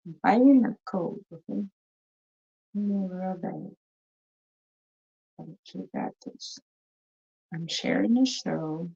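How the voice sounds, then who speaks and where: A woman's voice: fairly low pitch, takes it slowly, creaky, pauses often, mellow, slightly rough, muffled recording. A woman hosting a live talk show, chatting casually and repeating herself as she greets viewers, with a cold. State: has a cold, coughing. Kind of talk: casual live-stream chat.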